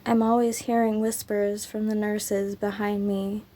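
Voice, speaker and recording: A woman with a sick, raspy voice, hosting her poetry channel and reading her own poems in a slow, unhurried way with frequent ums. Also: mid-range voice, level tone, dark tone.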